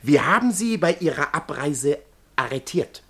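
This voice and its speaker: Chatty bootlicking cop in a radio drama, rambles on, really lively pitch. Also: male voice, normal speed, husky. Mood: officious, chatty. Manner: rambling, digressive, servile. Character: chatty, obsequious, near-sighted male police officer angling for money. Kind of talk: radio play acting.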